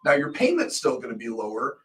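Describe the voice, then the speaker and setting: A man's voice: medium pitch, wildly swooping pitch, a little fast, recorded from a distance. A middle-aged American man co-hosting a talk show, explaining things casually, often posing questions and answering them himself. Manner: explains with hypothetical examples and self-answered questions. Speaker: radio show co-host.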